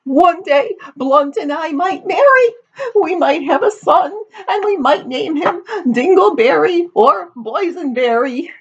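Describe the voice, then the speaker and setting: A tender-hearted, silly young farm girl from a folktale. Her voice is pitched very high and quavers with sobs. She speaks plaintively, full of sentimental woe, on a slightly dull recording. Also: expressive, slow pace, full character voice. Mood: tearful, despairing. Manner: sobbing, plaintive.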